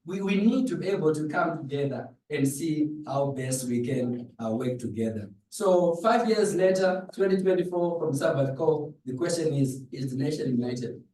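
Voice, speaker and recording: A man's voice: a little high, expressive, moderate pace, hardly any pauses, mellow, slight background noise. Zimbabwean man speaking from afar, echoey room. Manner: rhetorical, poses questions to listeners. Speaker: pastor giving a presentation. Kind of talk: public address in a dialogue forum. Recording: echoey room, distant microphone.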